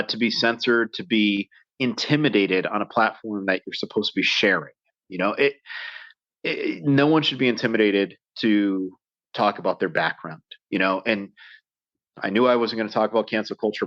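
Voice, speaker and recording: A man's voice: lowish voice, brisk, a bit bright, no echo. An American man speaking as a podcast guest, telling his story in a passionate, emphatic way. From United States. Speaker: guest, survival instructor. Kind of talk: casual interview talk. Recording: dull lo-fi sound.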